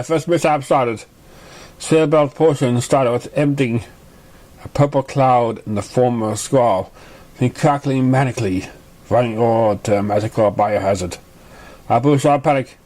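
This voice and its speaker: A man reading a story aloud as an amateur narrator, with a halting delivery that stumbles over words. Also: medium pitch, darkish tone. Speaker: amateur fan fiction reader.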